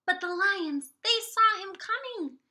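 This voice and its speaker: Casting: female preschool teacher, storybook read-aloud, slow and expressive, high-pitched, prompting kids with questions. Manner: expressive, drawn-out words, prompting children with questions.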